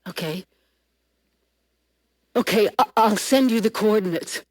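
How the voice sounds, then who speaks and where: A woman playing a flustered female scientist in a video game, speaking in a shaken, halting way. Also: medium pitch, darkish tone, natural voice. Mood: shaken, anxious.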